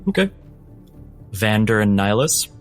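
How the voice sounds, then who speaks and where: A man's voice: fairly low pitch, very animated, moderate pace, faint music behind. A man working as game master, narrating a tabletop game in a theatrical, emphatic way.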